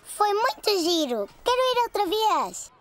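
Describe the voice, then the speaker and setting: A performer voicing a playful, excitable preschool boy in a European Portuguese children's cartoon dub. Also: extremely high pitch, unhurried, full character voice. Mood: excited. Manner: giggly, exuberant.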